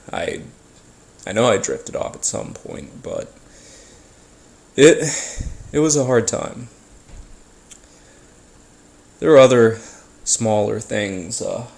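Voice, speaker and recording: A man telling a first-person story casually, as if being interviewed, in a halting, hesitant way with pauses and repeats. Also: fairly low pitch, gravelly, full character voice. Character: ordinary man recounting childhood brushes with a haunting.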